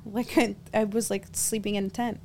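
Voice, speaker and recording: A woman's voice: medium pitch, flat delivery, moderate pace, darkish tone, a little hiss or hum. A young woman from Los Angeles, a podcast guest telling stories in casual chat, full of "like" fillers. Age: young adult. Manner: storytelling, frequent "like" fillers, laughs often.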